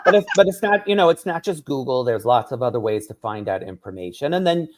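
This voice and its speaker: New author explaining eagerly. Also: male voice, medium pitch, animated, fast talker, a bit bright. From New York. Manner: enthusiastic, explanatory, tags "right?". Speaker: author and drag performer, guest. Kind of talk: radio interview.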